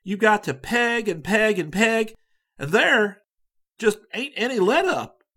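A man voicing a brash, hearty young American male student who talks loud and slangy with an American accent. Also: high-pitched, very animated, takes it slowly, voice pushed up, exaggerated voice. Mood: boisterous. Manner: loud, boisterous, slangy drawl.

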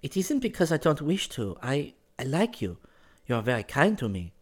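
A young woman of the bohemian set, acted out by a male narrator, speaks in a lifted, high voice. Her phrasing is formal and careful, hesitant and guarded, with very lively rises and falls, as in a period storybook romance. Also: normal speed. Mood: regretful. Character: young French woman, shy, secretive, feverish bohemian.

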